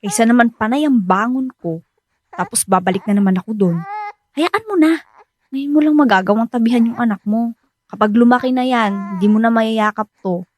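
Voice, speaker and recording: A woman's voice: on the high side, normal speed, darkish tone, no echo, natural voice. A woman playing a patient young wife and devoted new mother in a Filipino radio drama. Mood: gently firm.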